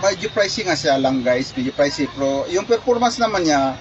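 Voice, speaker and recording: A man's voice: a little high, animated, brisk, a crowd in the background. A man casually reviewing a gadget, talking loosely with frequent restarts and fillers, recorded on a phone. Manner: chatty, repeats himself, many restarts and fillers. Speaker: product reviewer vlogger. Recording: muffled lo-fi recording.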